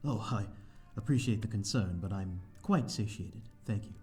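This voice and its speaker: Man playing a deep, mellow, courteous vampire. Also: normal speed, faint music behind, natural voice. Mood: modest, bashful. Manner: hesitant, polite, formal old-fashioned phrasing. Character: courteous, lonely old-fashioned male vampire living in a manor. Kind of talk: slow ASMR audio roleplay, addressing the listener.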